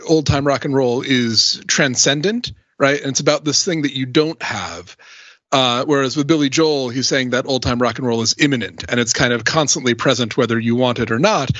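A man in a casual, analytical panel discussion, animated and opinionated, talking in asides on a slightly dull recording. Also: mid-range voice, no room sound. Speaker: podcast panelist.

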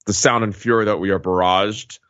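A man with a slightly low voice talks fast as a podcast co-host, posing questions in a casual way. The sound is dry and a touch dull. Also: steady tone, fairly bright tone, clean tone, dry recording.

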